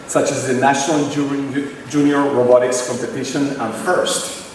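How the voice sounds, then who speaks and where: A man with a Venezuelan accent, likely in his forties, giving a formal commencement address in measured, phrase-by-phrase delivery. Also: mid-range voice, slight background noise. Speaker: commencement speaker. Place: large ceremony hall. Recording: echoey hall recorded from far off.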